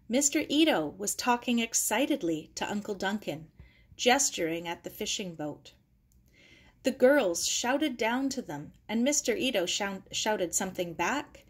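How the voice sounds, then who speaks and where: A woman's voice: medium pitch, husky. A woman reading a children's novel aloud as scripted narration. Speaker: audiobook reader.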